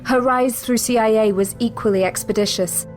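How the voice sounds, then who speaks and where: A woman giving measured, scripted narration of a spy thriller. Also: a little high, soft background music. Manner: measured, even storytelling.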